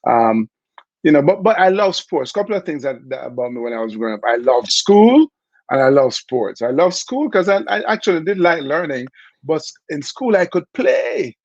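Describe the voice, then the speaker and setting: A middle-aged Jamaican man telling his story in a relaxed, chatty interview, with many fillers along the way. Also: a little high, normal speed, no room sound, dull audio. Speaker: interview guest, former Olympic bobsledder.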